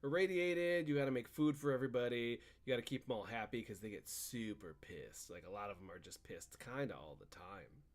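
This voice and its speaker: A man's voice: mid-range voice, expressive, fairly quick, hardly any pauses. A man co-hosting a podcast, chatting casually about video games in a rambling, slangy bro style and acting out bits of dialogue. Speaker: podcast co-host.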